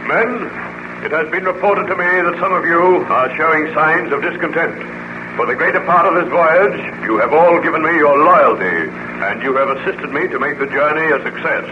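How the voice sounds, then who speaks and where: A man's voice: a little high, lightly acted. Commanding sea captain played by a man, noisy recording. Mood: stern, appealing. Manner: formal, measured, commanding. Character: firm, loyal, authoritative sea captain. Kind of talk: 1930s radio drama acting. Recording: dull, noisy 1930s radio recording.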